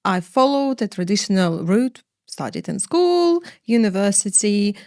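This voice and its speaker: A middle-aged woman from Ukraine, a finance executive answering interview questions in a measured way, often pausing to find words and listing points one by one. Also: medium pitch, sing-song, darkish tone, dry recording, clean studio sound.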